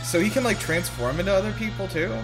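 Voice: medium pitch, fast talker, music in the background, rough recording. A podcast host giving casual, joking, sweary commentary while watching a film. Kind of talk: casual movie reaction commentary. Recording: film soundtrack playing behind.

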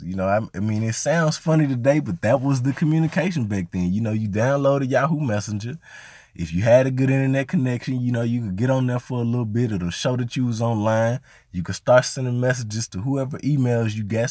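A young man from Arkansas hosting a casual solo podcast, talking in a laid-back, rambling way with lots of fillers, his voice a little hoarse from a cold. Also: medium pitch, a little mellow, no echo, clean studio sound. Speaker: podcast host.

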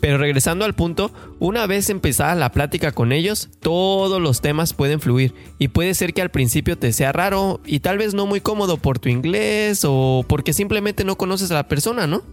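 A man's voice: sits high, normal speed, few pauses. A man co-hosts a casual podcast. He explains things in a friendly, chatty way. Faint music plays under his voice. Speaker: podcast co-host.

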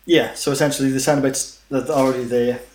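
A man's voice: mid-range voice, fairly even intonation, fast, darkish tone, roomy sound. A man co-hosting a casual podcast who answers with laid-back "yeah"s and drops in film trivia. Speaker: podcast co-host.

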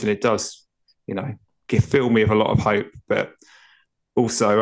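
A man who is a regular on a basketball podcast, speaking in a measured, hesitant way with frequent "um"s over a video call. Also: fairly low pitch, low-quality recording. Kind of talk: casual sports podcast chat.

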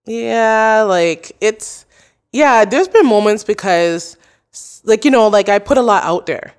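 A woman's voice: mid-range voice, expressive, measured pace, smooth. Young adult woman, guest on a podcast chat; casual, filler-heavy, open talk. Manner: chatty, many "like" and "you know" fillers. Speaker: podcast host, interview guest.

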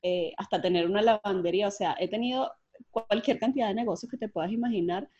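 A woman's voice: mid-range voice, quick pace, no room sound. Woman guest, chatty and upbeat, dry muffled recording. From Venezuela. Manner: lively, chatty, frequent fillers and restarts, laughs easily. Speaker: podcast guest, entrepreneur. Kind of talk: casual interview chat. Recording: dull, dry lo-fi sound.

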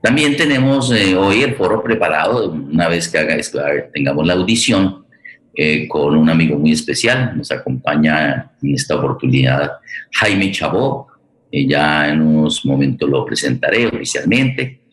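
A man's voice: a bass voice, animated, normal speed, a bit bright. A man with a Colombian accent hosting an online forum, speaking unscripted and searching for his words. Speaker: host of an online radio theatre forum. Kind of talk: unscripted spoken introduction to a live programme. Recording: roomy, echoing sound.

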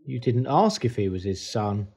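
A man playing an awkward, timid, literal-minded political staffer in an audio comedy, deadpan and hesitant. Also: medium pitch, moderate pace, husky. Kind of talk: audio comedy drama acting.

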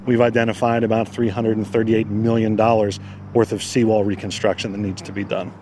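A man's voice: lowish voice, flat delivery, fairly quick, a little mellow, a little hiss or hum. A male park official answering an interviewer outdoors, hesitant and evasive, with many fillers. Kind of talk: field interview. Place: outdoors in a flooded park, traffic nearby.

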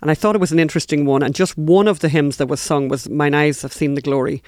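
A man's voice: on the high side, steady tone, quick pace, darkish tone, dry recording, clean studio sound. A man talking casually as a podcast co-host, chatty and emphatic, often repeating phrases for stress. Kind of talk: casual conversational talk.

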